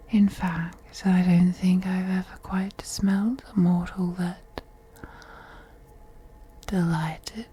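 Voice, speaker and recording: A woman playing a wealthy, possessive female vampire who toys with her prey in a soft, teasing, whispery voice for an intimate audio roleplay. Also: lowish voice, a little hiss or hum. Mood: seductive, hungry. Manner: slow, teasing, purring, often slipping into whispers.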